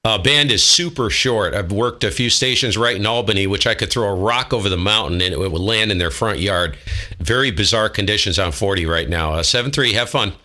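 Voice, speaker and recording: A man's voice: on the low side, a little fast, sharp tone. An American man operating a ham radio, giving call signs and making casual side comments as host.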